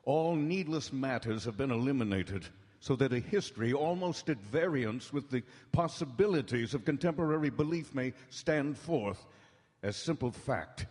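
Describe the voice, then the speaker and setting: A man playing a grave, earnest doctor in 1930s radio drama style, formal and measured. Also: medium pitch, animated, a little mellow, close to an everyday voice. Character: grave, earnest male doctor presenting documented evidence. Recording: echoey town hall stage.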